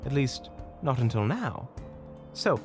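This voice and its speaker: An actor as a slow, wryly scolding hunter. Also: mid-range voice, very animated, soft background music. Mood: calm, dry. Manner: dry, sarcastic, gently scolding. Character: kind-hearted, wryly scolding monster hunter. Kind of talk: audio roleplay drama acting.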